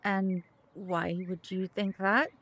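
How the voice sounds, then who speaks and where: A composed, knowing mother in an audio drama. She speaks in a measured storyteller's way at a middling pitch. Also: flat delivery, fairly bright tone, no room sound. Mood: wary. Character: composed, knowledgeable mother from a magical family.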